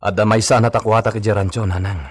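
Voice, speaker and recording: An actor playing an earnest, conciliatory young hacienda man, a dutiful son, in an Ilocano radio drama with a husky voice. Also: lowish voice, steady tone, quick pace.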